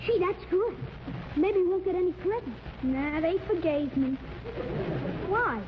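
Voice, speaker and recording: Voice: very high-pitched, lively intonation, measured pace. A young performer playing a bored, blunt little boy in a 1940s radio comedy. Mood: wistful. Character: wisecracking, bored little boy, spoiled by presents. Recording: noisy, muffled 1940s radio recording.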